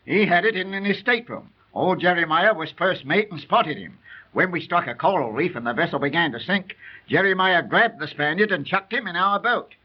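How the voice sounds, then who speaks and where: An actor playing a surly, unlucky old sailor turned burglar, grumbling in rough colloquial speech in a 1930s radio drama. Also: male voice, high-pitched, lively intonation, brisk. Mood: bitter storytelling. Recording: muffled, band-limited 1930s radio recording.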